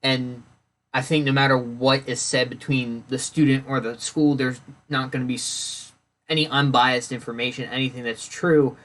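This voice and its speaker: A man's voice: mid-range voice, flat delivery, normal speed, fairly bright tone. A man hosting his own podcast alone, talking in a loose, rambling way with many fillers and restarts, sounding congested and phlegmy. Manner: rambling, self-correcting, lots of fillers. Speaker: podcast host. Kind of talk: casual solo podcast talk.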